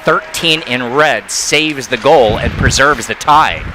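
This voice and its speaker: Brief: male play-by-play hockey announcer, quick, noisy arena broadcast. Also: medium pitch. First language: not Thai. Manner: rapid, excitable calls on big plays. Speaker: play-by-play commentator.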